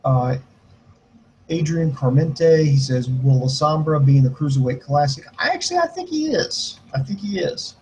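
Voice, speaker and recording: A man's voice: a little high, very animated, normal speed, darkish tone, slight background noise, poor audio. A man co-hosting a wrestling podcast in casual chat, answering listener questions in a blunt, joking way. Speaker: podcast co-host.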